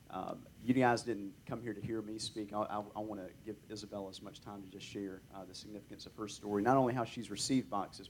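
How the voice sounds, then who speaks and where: A man's voice: on the low side, a little hiss or hum. A man introducing a guest speaker, speaking hesitantly with many fillers. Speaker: ministry representative introducing a guest. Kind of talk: introductory remarks.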